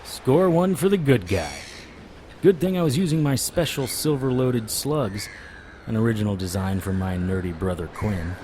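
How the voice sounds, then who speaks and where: Deep, sardonic man narrating a noir tale. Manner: wry, sardonic, world-weary. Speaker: narrator of an audio drama, a vampire hunter. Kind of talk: hard-boiled first-person narration. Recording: audible background noise.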